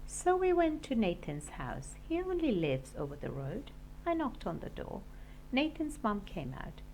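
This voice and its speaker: A woman narrates a children's storybook as a class teacher would. Her delivery is slow and highly animated, at a middling pitch. The recording has faint noise behind her. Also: rough recording. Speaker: class teacher reading aloud.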